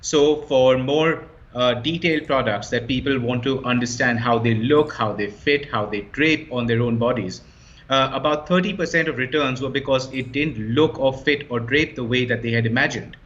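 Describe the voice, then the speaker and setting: A man of medium pitch speaks fluently and with confidence, stressing his numbers and points as he lays out a business problem. The sound is a little dull and lo-fi. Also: normal speed, fairly bright tone. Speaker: startup co-founder, guest. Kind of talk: explaining his business in an interview.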